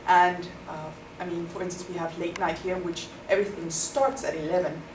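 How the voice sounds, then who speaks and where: A woman's voice: fairly low pitch, level tone, moderate pace. Young Afrikaans-speaking woman from South Africa, explanatory interview answers, distant mic, noisy. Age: young adult. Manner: thoughtful, explanatory, with many ums. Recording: echoey room, far from the mic, noisy.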